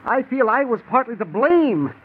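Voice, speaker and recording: An actor playing a scheming stepbrother who gives halting, earnest testimony in 1950s American radio style. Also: male voice, very high voice, sing-song, breathy edge, full character voice. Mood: feigned reluctant sorrow. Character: scheming stepbrother feigning reluctant, sorrowful honesty on witness stand. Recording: noisy, tinny 1950s radio recording.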